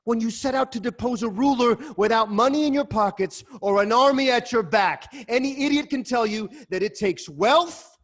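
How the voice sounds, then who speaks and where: A man's voice: very high voice, normal speed. An actor playing a proud, hot-tempered king in a dramatic reading over a video call, scornful and commanding. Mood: furious accusation. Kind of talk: Zoom dramatic reading of a Greek tragedy.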